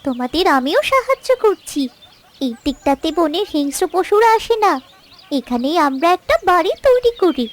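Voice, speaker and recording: A very high-pitched eager forest creature. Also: female voice, very animated, normal speed. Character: helpful, eager small forest animal friend.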